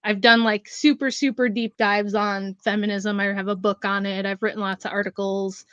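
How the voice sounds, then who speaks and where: A woman in her forties, a guest writer and researcher, talking in a friendly, quick way with frequent laughs. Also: medium pitch, little pitch movement, fairly bright tone, smooth, dry recording, muffled recording. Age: 40s. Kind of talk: casual interview.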